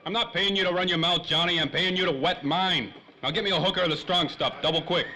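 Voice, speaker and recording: Forceful, hard-boiled radio detective. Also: male voice, sits high, fast talker. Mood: gruff, scornful. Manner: brash, clipped, slangy tough talk. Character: hard-boiled, hot-tempered boozing ex-private detective. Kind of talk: 1930s radio noir acting.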